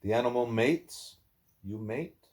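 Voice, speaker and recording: A man reading a spiritual dialogue aloud at home, speaking deliberately and sometimes correcting himself. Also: medium pitch, darkish tone. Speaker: book reader, parent.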